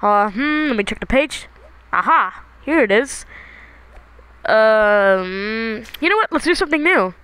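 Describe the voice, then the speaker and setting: A woman doing an amateur, playful, exclamatory fan dub of animated shorts, with improvised-sounding dialogue. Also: on the high side, a little slow, clean tone, rough recording. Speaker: amateur voice actor.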